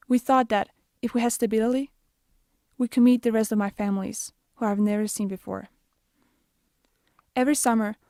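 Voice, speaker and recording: A young woman with a Bosnian accent, an activist reading an earnest, measured personal narration. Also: mid-range voice, darkish tone, dry recording, studio quality. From Denmark. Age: young adult. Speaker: youth activist.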